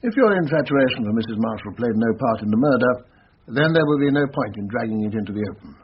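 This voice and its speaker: An actor with a British accent playing a brisk, direct, matter-of-fact police investigator in a radio drama. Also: male voice, medium pitch, animated, close to an everyday voice. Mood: probing, official. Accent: British English. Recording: muffled radio broadcast, hissy with clicks.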